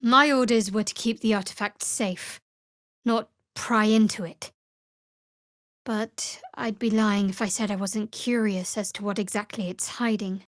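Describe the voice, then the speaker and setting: An actress playing a guarded, dryly sardonic young woman cleric of a dark goddess in a fantasy video game. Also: mid-range voice, steady tone, unhurried, no room sound, clean studio sound, close to an everyday voice. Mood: guarded, measured.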